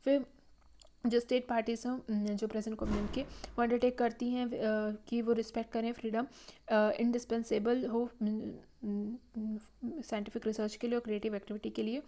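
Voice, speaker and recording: Mellow, even-toned woman lecturing. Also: fairly high pitch. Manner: halting, frequent "uh" fillers and restarts while reading slides; mixes Hindi with English legal terms. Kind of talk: slide-based lecture explaining a legal text. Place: voice-over on slides.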